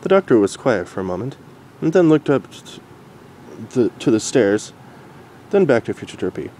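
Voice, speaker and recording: A man narrating a story aloud as an amateur reader, halting and stumbling over words. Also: medium pitch, sing-song, dark tone, slight background noise. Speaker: amateur fan-fiction reader.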